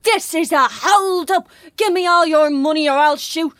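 A performer playing an excitable young boy in an audio drama, acting out cops and robbers with mouthed gunshots. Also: very high-pitched, normal speed, background noise. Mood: excited play.

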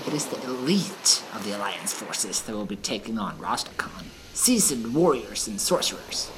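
A woman playing a seasoned, playful gnome adventurer and knowing mentor in a fantasy audio drama. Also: low-pitched. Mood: matter-of-fact. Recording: noticeable ambient background noise.

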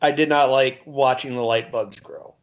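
A man in his forties co-hosting a podcast, talking casually and haltingly with many "like"s and "you know"s. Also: mid-range voice, muffled recording. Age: 40s. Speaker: podcast co-host.

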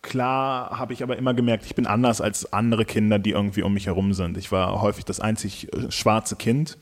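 Ein Mann Ende zwanzig, der im Interview nachdenklich über sein Musikalbum spricht, mit vielen Füllwörtern und Neuansätzen. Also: male voice, lowish voice, fairly even intonation, brisk. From Germany. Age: late 20s. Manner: thoughtful, many fillers and restarts. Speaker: musician guest. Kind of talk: casual interview talk.

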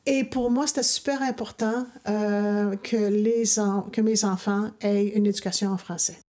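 A woman's voice: mid-range voice, steady tone, a little slow, dark tone. A woman with a Canadian French accent, earnestly recounting her memories as an interviewee.

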